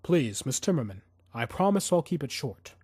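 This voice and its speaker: A man's voice: mid-range voice, very animated, normal speed, a little mellow, slightly rough. Man voicing a polite, persistent young man. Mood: politely insistent. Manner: courteous, insistent, pleading. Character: polite, persistent young male assassin posing as reporter. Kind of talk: audiobook character dialogue.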